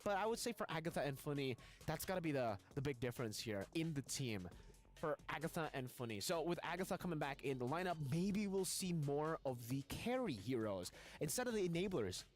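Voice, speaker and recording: A man commentating an esports match, excitable and quick to react. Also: on the high side, faint music behind. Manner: animated, excitable. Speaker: esports caster.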